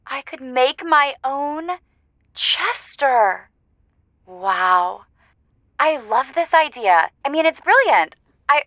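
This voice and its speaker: A woman's voice: high-pitched, big pitch swings, slow pace, long pauses, a bit bright, no room sound, full character voice. A woman playing an earnest, quirky young dog lover calling in to a conversational advice show. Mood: eager, hopeful. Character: earnest, quirky dog-loving young female radio caller. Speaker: caller.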